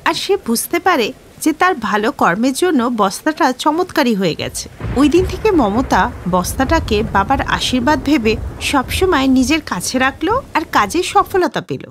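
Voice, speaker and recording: A woman narrating a storybook cartoon with expressive storytelling. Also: high-pitched, dry recording.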